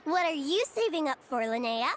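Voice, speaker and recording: Tiny curious game fairy, super high squeaky pitch, swoops all over. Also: female voice, big pitch swings, moderate pace, music in the background. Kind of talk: video game character dialogue.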